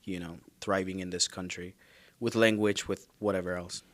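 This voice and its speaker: A man whose first language is Spanish talks in a low voice as a guest in a relaxed conversation. He tells his story in an easygoing way, and laughter breaks into his sentences often. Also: moderate pace. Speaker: guest, theology student. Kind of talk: casual conversation, personal story.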